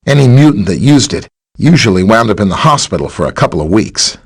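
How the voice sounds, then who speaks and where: A man playing a friendly, helpful scientist superhero in a video game, with a chatty, polite, explanatory way of talking and a slightly husky voice. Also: lowish voice, very animated, quick pace, full character voice. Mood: explanatory, earnest. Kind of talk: scripted video game character dialogue.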